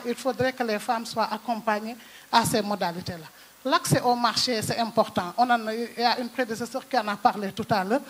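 High-voiced woman moderator, earnest. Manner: earnest, advocating, listing points. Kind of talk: conference panel moderation and speech. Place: large conference hall on a stage. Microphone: handheld microphone.

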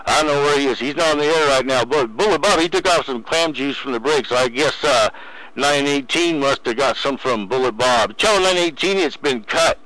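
A man's voice: mid-range voice, normal speed, bright, forceful tone, no echo, poor audio. A man teasing in casual CB slang over a radio, repeating his phrases. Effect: CB radio transmission. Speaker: CB radio operator.

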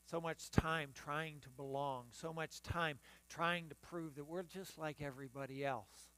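A man's voice: fairly high pitch, steady tone, unhurried, sharp tone. Older male pastor preaching in church. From United States. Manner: preaching while standing and gesturing, with frequent restarts. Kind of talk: sermon. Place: church sanctuary in front of the altar.

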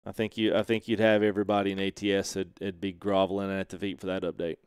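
A man's voice: low voice, little pitch movement, fast talker, smooth, dry recording, clean studio sound. A man in his thirties hosting a casual gameplay livestream from a home sim rig, chatting loosely, laughing often and greeting viewers through a headset mic. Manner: laid-back, chatty, laughs often, reads chat aloud. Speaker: streamer.

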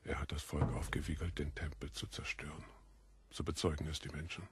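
An actor playing a pained, scornful Roman governor interrogating a prisoner in an audio drama. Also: male voice, a bass voice, flat delivery, fairly quick, background noise. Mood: cold, threatening. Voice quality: gravelly, husky. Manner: curt, scornful, commanding.

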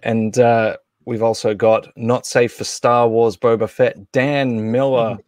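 A man's voice: medium pitch, level tone, slow pace, a little mellow, dry recording, clean studio sound. A man in his thirties or forties hosting a livestream, casually making announcements and introductions in an off-the-cuff, hesitant way. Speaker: livestream host.

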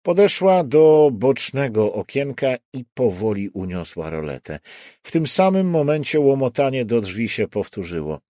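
A man narrating a crime thriller audiobook in a deliberate, suspenseful way with dramatic pauses. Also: medium pitch, sing-song, mellow, no echo, studio quality.

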